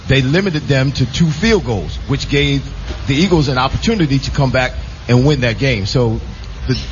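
A man, a former athlete, making emphatic, repeated points in a casual sports discussion. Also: mid-range voice. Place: live broadcast from a casino. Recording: muffled, faintly noisy live venue recording.

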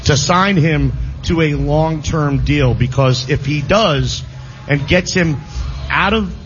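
Emphatic Philly sports radio host. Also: male voice, on the high side, slow pace, a bit bright, highs cut off. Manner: emphatic, repeats phrases for stress. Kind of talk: live sports radio banter. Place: live venue broadcast.

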